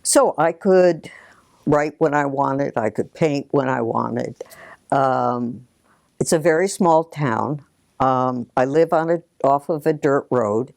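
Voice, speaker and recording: An older woman speaks in a low register at a slow, unhurried pace. She gives a reflective, personal account, recorded close and dry. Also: gravelly, a little mellow, no room sound. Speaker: interviewee.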